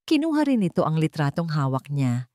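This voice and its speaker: A woman's voice: deep voice, very animated, brisk, a little mellow, dry recording, studio quality. A woman with a Filipino accent reading a scripted story as a dramatic narrator.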